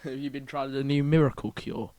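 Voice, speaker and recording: A man's voice: medium pitch, fairly even intonation, brisk, mellow. A man in casual comic banter as a co-host, dryly and politely prompting with short questions. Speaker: comedy co-host and interviewer.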